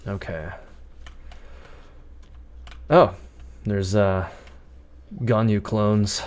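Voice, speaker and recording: A man with a fairly low voice streams a game in a casual way. He chats along and thinks aloud as he plays. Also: steady tone, very slow, a little mellow, clear, resonant tone. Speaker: game livestreamer. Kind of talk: casual gameplay commentary. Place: indoors at a computer.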